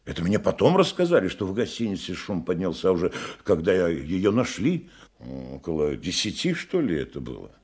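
A husky, low-voiced provincial gentleman in a radio drama. He is talkative and agreeable, a little pompous about culture, with expressive, swooping intonation. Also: moderate pace, exaggerated voice. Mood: earnestly explaining. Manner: chatty, exclamatory, slightly pompous. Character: talkative, cultured provincial male hotel guest, eagerly agreeable. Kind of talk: Russian radio play acting.

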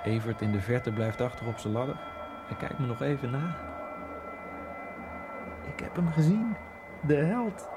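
A man's voice: medium pitch, unhurried, long pauses, loud music behind the voice. Man narrating a brooding radio monologue. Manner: brooding, dreamy inner monologue with sudden bursts. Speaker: Egyptian immigrant narrator.

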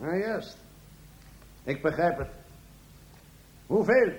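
A man's voice: on the high side, animated, slow, lo-fi sound. A man playing a whiny, bumbling police inspector who exclaims and questions in a comic radio play. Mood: flustered. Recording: echoey room, faint noise.

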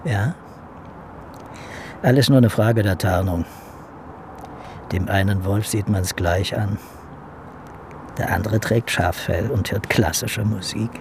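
An actor playing a detective's brooding, sceptical colleague in a radio drama, musing and questioning. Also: male voice, on the low side, slow, gravelly, pauses often, noisy background. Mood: cynical, pensive. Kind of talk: naturalistic radio drama acting.

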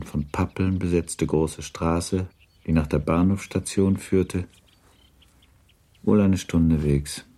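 A man reading scripted literary narration for a radio play, measured and composed. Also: sits low, mellow.

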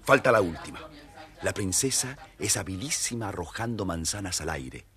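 A man narrating a children's fairytale theatrically in a husky voice. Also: expressive, moderate pace, fairly bright tone. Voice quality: husky, deep. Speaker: storyteller, narrator.

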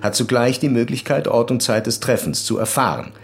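An actor playing a determined, grim police commissaire in a crime radio drama, speaking deliberately and formally. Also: male voice, mid-range voice, lightly acted. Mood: formal, insistent.

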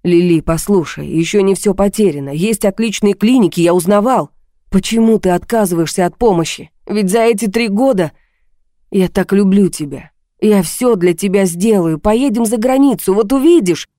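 A woman's voice: medium pitch, moderate pace, warm tone, dry recording, clean studio sound, voice pushed up, natural voice. A woman voicing a volatile, self-pitying husband in an audiobook drama, agitated, accusing and pleading.

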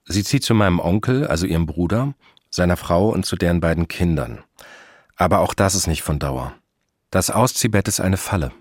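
A man with a very low voice narrates a family story in a calm, matter-of-fact way. The recording is close and dry, with no room sound. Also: moderate pace, no room sound. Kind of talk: scripted narration.

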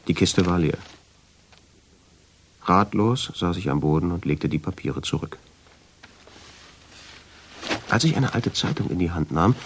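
Brooding first-person narrator, low voice, measured, suspenseful, radio play, ambient noise behind. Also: male voice, a little mellow. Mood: sombre. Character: brooding man recounting a mysterious family discovery.

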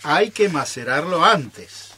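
A man's voice: fairly high pitch, animated, slow pace, bright, forceful tone, slight background noise, heavily acted. A man with an Argentine accent acting a stubborn, teasing keeper of a secret recipe in amateur radio theatre, with coy, theatrical delivery. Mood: playful.

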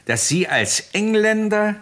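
An actor playing a courteous but sceptical male police inspector in a radio crime drama, speaking in a formal, deliberate, politely probing manner. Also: high voice, big pitch swings, fairly bright tone, heavily acted. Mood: suspicious.

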